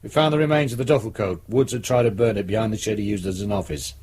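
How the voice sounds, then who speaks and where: A man's voice: lowish voice, fast, a little mellow, a little hiss or hum, close to an everyday voice. Guy doing an older british police boss, cold, scolding, talks down to people, radio drama. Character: domineering, cutting male police superintendent, older boss. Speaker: actor.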